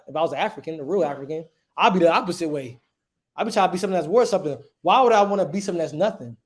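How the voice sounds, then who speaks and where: A man's voice: on the high side, darkish tone. A man teaching a livestreamed religious history class, reading passages aloud and preaching emphatically, often checking with "right?".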